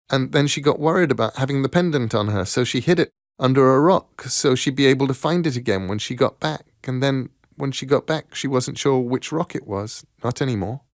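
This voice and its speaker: An earnest, wistful young girl from a storybook, read by a man, speaking in a raised pitch. The delivery is dry and close, with some creak underneath. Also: no echo, natural voice. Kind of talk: storybook character voice.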